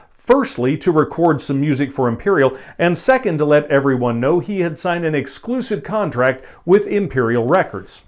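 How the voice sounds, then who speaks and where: Guy doing a casual explainer vid, kinda dry humor, voice a bit on the high side. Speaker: video host and record collector. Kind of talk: informal explainer narration.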